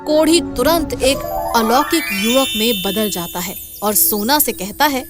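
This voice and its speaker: A woman's voice: fairly high pitch, measured pace. A woman telling a dramatic scripted tale as its narrator. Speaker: storyteller. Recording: clear background music under voice.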